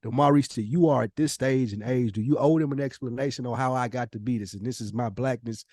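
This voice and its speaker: A man's voice: medium pitch, quick pace, darkish tone, no room sound, studio quality. A man co-hosting a casual podcast conversation, talking in an emphatic, probing way with plenty of slang. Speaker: podcast co-host.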